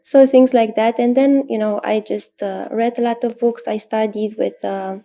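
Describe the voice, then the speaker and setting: A woman in her thirties from Romania with a Romanian accent, an astrologer answering interview questions thoughtfully, with pauses and fillers. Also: on the high side, steady tone, gravelly. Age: 30s. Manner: thoughtful, many pauses and fillers, soft laughs. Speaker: astrologer guest.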